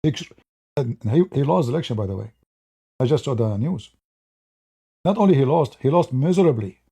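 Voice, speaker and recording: Mocking man with a mellow voice. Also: mid-range voice, animated, normal speed, slightly rough. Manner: mocking, rambling, with filler restarts. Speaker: commentator. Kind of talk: political commentary to viewers.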